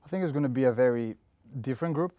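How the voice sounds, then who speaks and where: A man's voice: medium pitch, very fast, no room sound, dull audio. A young man from Spain, answering interview questions as a head coach in a plain, earnest way with frequent "uh" and "you know". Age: young adult.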